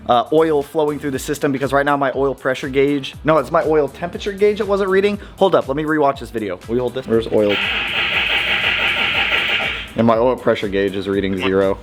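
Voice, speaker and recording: A man casually explaining car repair steps as he works, upbeat and plain-spoken, in a garage. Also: fairly high pitch. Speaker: car modding vlogger. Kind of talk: casual hands-on narration. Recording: clear background music over voice.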